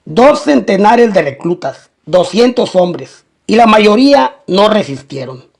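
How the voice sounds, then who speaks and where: A male narrator tells a scripted crime story in documentary style. His voice sits high for a man and has a strong gravelly fry running through it. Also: big pitch swings, a little slow.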